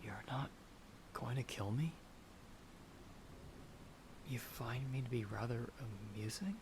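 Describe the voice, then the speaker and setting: A desperate young male traveler acted by a man in a dramatic audio roleplay. He speaks slowly and breathlessly, with a creaky edge and a defiant intensity, over clearly audible noise. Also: medium pitch. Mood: wary, confused. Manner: breathless, halting, intense delivery. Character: frightened, defiant young male traveler turned half-vampire. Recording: noisy track with storm ambience.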